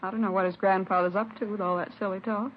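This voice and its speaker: A talkative neighbor woman who is certain of her view , played by an actress. She speaks at a middle pitch in a 1950s radio crime drama. The recording is dull and lightly hissy. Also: fairly even intonation, fast, close to an everyday voice. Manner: talkative, sure of herself. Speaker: neighbor questioned by police.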